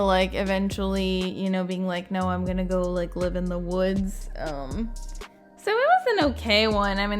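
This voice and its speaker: A woman's voice: mid-range voice, fairly bright tone. A woman giving casual, chatty gaming reaction commentary in an informal, tentative way, leaning on filler words. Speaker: gaming video creator, host. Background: background music.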